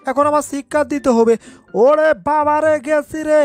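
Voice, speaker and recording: Picture a panicky cartoon tomcat played by a woman, pitched high and easily frightened. It mutters and exclaims to itself as if forever put upon, with faint music behind it. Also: fairly even intonation, moderate pace, darkish tone, close to an everyday voice. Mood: panicked, threatening. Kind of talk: cartoon fan dub.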